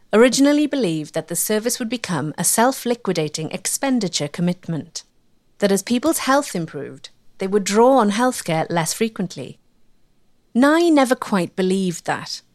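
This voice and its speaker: A woman giving measured, scripted narration for a history documentary. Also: medium pitch.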